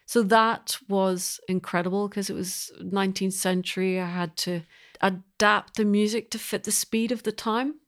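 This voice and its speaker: A woman's voice: mid-range voice, steady tone, normal speed. A woman talking as an interview guest about her creative work, thoughtful and emphatic, feeling her way through sentences. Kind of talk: reflective interview conversation.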